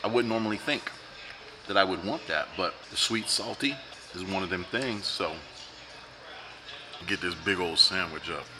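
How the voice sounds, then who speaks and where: A man's voice: low-pitched, moderate pace, long pauses, noisy background. A man, reviewing food in a casual, joking vlog while eating at a restaurant. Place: restaurant dining room, indoors.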